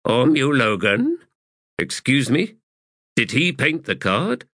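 A man's voice: medium pitch, sing-song, slow pace, a bit bright, dry recording, clean studio sound, voice pushed up, full character voice. A man voicing a polite, puzzled military subordinate who questions his commander in an audiobook. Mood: probing.

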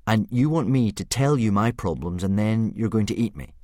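An anxious, earnest young boy, as a man reads him, his voice pushed up high and eager. He protests with quick indignation, lively in pitch. The sound is close and dry. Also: no echo, close to an everyday voice. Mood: indignant.

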